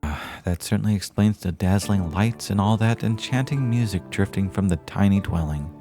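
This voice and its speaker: A man acting a florid, low-voiced male radio reporter. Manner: florid, theatrical. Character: excitable male small-town radio reporter, florid and earnest. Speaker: host and narrator of an audio drama. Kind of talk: old-time radio style dramatic narration.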